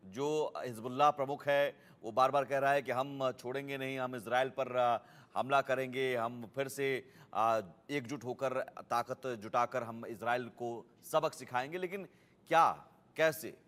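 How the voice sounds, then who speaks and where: A male news anchor speaks at a medium pitch. He frames emphatic questions, pausing between phrases. His voice carries a long, hall-like reverb. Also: a bit bright.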